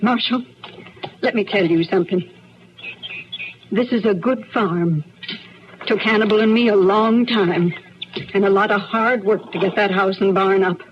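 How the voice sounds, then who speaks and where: A woman's voice: medium pitch, unhurried, pauses often, close to an everyday voice. A woman playing a proud, plain-spoken frontier farm wife with a rural American accent in an old radio western. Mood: resigned, earnest. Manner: plain-spoken, folksy, firm. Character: proud, strong-minded frontier farm wife fighting for her husband. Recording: muffled old radio broadcast, faint noise.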